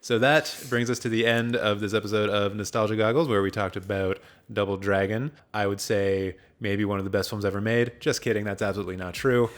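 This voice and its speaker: Low-voiced man hosting a casual film podcast. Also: fairly even intonation, brisk, few pauses. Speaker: podcast host.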